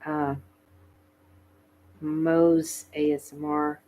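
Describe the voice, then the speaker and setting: Chatty Southern woman with a low voice. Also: little pitch movement, drawn-out words. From Southern United States. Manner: chatty, laughs often, soft-spoken. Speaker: ASMR channel host. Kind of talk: casual livestream chat.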